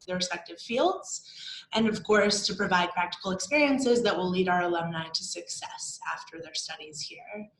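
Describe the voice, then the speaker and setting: A woman's voice: medium pitch, fairly bright tone. A young woman hosting an online student panel as marketing coordinator, upbeat and welcoming, with a few restarts. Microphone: video call. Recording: roomy echo, faint hum.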